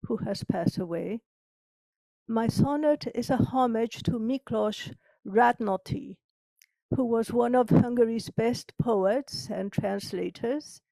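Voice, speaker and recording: A woman from Mexico, a poet, introducing and reciting her own work in a solemn, deliberate manner. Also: on the high side, vocal fry, mellow, dry recording, low-quality recording.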